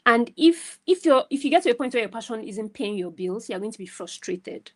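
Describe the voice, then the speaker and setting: A woman's voice: fairly high pitch, expressive, fairly quick. A woman giving casual, conversational advice as a guest mentor on a video call, emphatic and often checking in with the listener. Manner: emphatic, often tags phrases with "right?".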